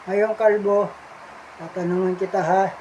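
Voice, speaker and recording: A man's voice: high-pitched, little pitch movement, measured pace, highs cut off, background noise. A man casually hosting a puppet show, addressing the audience in a friendly, repetitive way. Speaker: puppeteer host.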